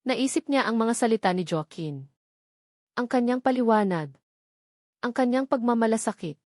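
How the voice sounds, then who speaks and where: A woman's voice: fairly high pitch, lively intonation, moderate pace, a little mellow, no echo, studio quality. A woman with a Filipino accent reading a scripted romance story as its narrator.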